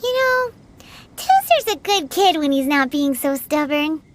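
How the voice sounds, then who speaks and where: A squeaky little fairy sidekick from a game, crazy high and bouncy, cheeky, loud music under it. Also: female voice, sing-song, a little slow, very noisy. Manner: squeaky, fretful, refers to herself by name. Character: tiny cheeky floating fairy girl companion. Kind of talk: video game voice acting.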